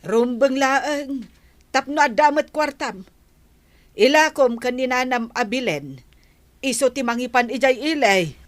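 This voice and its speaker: A woman's voice: sits high, animated, a little slow. An actress playing a stern, grumbling grandmother in a radio drama, bossy and scolding.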